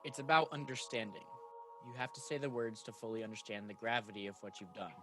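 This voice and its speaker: A man's voice: fairly low pitch, steady tone, fast, a bit bright, soft background music, lightly acted. A man playing a stern, dutiful archangel in a radio play, speaking earnestly and solemnly as he instructs. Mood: solemn, probing.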